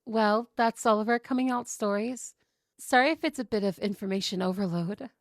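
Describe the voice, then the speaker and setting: A woman's voice: medium pitch, normal speed, no room sound, clean studio sound, natural voice. A woman playing a sweet, welcoming young woman among friends in an ASMR roleplay.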